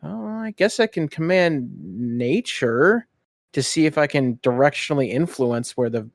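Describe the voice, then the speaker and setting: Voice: mid-range voice, animated, a little slow, no echo. A tabletop game player chatting casually and thinking aloud.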